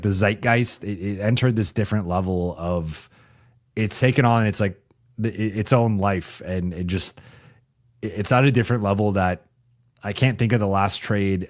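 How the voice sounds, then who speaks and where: A man hosting a casual basketball livestream, talking loosely with many restarts and reading viewer comments, his voice going a bit raspy as he talks. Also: fairly low pitch. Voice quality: raspy when talking for a while. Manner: loose and conversational, frequent restarts, reads viewer comments aloud. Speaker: sports reporter and channel host. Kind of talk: casual live-chat basketball analysis. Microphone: home streaming mic.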